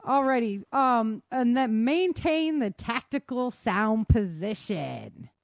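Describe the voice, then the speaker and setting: A woman's voice: fairly high pitch, expressive, slow pace, no echo, studio quality. A woman hosting a podcast in casual chat, talking in an informal, slangy and self-deprecating way. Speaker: podcast host.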